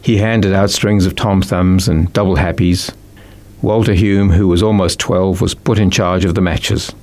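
A man's voice: low voice, steady tone, a little slow, a little mellow. A man with a New Zealand accent reading a children's story as scripted narration. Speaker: author reading own book.

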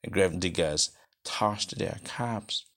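Male literary narrator, Nigerian accent, unhurried and gravelly, expressive audiobook delivery. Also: low voice. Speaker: guest reader, novelist.

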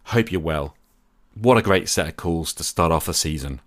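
A man's voice: low voice, animated, normal speed, fairly bright tone. A man hosting a podcast, talking in a conversational way to his listeners. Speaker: podcast host.

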